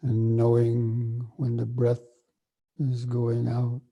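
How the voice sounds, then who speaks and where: A man guiding a breathing meditation, speaking softly and evenly with long pauses between phrases. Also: mid-range voice, muffled recording. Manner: slow, soft and even, with long pauses. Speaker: meditation teacher.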